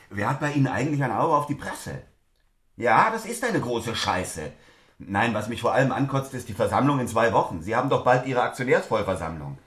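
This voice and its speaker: An actor playing a brusque, curtly polite corporate lawyer in a naturalistic stage play, speaking in a clipped, businesslike way. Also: male voice, mid-range voice, echoey room, natural voice. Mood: irritated, commanding.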